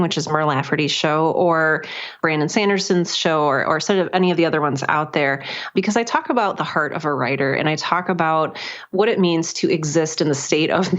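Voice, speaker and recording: Low-pitched woman, chatty and earnest, dull audio. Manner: earnest, chatty, often laughs while talking. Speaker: podcast guest, writer and podcaster. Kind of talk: conversational interview, explaining own work.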